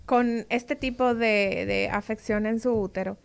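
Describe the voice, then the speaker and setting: A woman interviews a guest in a conversational radio style. Her voice sits a little high as she puts her questions. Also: fairly even intonation, a little slow, creaky, smooth.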